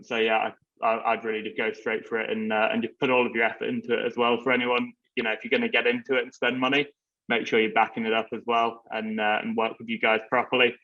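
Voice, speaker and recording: A man gives an earnest testimonial in a level, unvaried voice with a sharp edge. The audio sounds dull and low-fidelity. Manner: plain-spoken, recommending earnestly. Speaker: client giving a testimonial.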